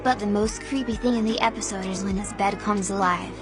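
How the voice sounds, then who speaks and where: A woman's voice: mid-range voice, fairly even intonation, brisk. A woman reading simple scripted narration as a video narrator. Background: music.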